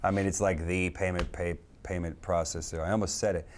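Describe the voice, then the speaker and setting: A man with a lowish voice chatting easily about his web design business. He talks in a relaxed, businesslike way, slipping in sports sayings. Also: a little fast. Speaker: web design company partner. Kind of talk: casual interview talk.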